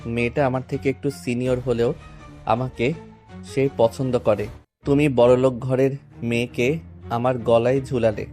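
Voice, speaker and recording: A man's voice: mid-range voice, level tone, measured pace, long pauses, mellow, soft background music, close to an everyday voice. A man playing a humble young son in an audio story drama, pleading in a subdued, hurt way about being forced into marriage. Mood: hurt, reproachful.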